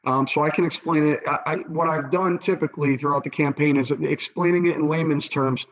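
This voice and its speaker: Hoarse thirty-year-old man. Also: fairly high pitch, fairly even intonation, brisk, a little hiss or hum, rough recording. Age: 30s. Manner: earnest, repeats words with many fillers. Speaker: political candidate. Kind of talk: interview answers.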